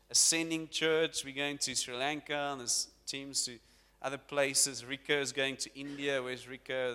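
A man preaching to his congregation as pastor, lively and talking directly to the people in front of him. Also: a little high, smooth. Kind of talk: sermon. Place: church hall. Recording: long church hall reverb.

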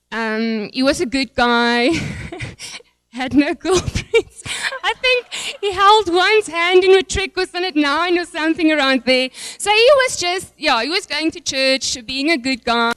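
A woman's voice: high voice, no room sound. A middle-aged South African woman whose first language is Afrikaans, giving a nervous, emotional church testimony and prayer with many fillers and restarts.

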